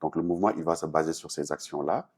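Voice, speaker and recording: A man's voice: rumbling low, fairly even intonation, fast talker, a little mellow, husky. A Senegalese man answering interview questions in French-speaking West African style, explaining things earnestly with a Senegalese accent. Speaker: talk show guest, artist and activist.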